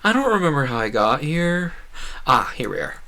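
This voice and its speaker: A man's voice: on the high side, moderate pace. A young man streaming a game with casual, rambling commentary, animatedly acting out bits of conversation as he talks. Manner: animated, acts out quoted conversations.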